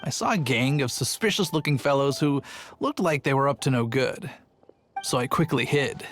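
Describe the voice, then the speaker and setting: A rambling, somewhat defensive city guard tells his story in a video game, voiced by a man. His voice sits at an ordinary middle pitch, with faint game music behind. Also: animated, normal speed, a bit bright, natural voice. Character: chatty, sheepish adult male city guard.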